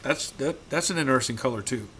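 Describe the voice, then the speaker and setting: A man hosting a live stream from his garage, answering viewer comments in a relaxed, halting way, repeating words, his voice getting rough after long talking. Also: mid-range voice. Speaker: host.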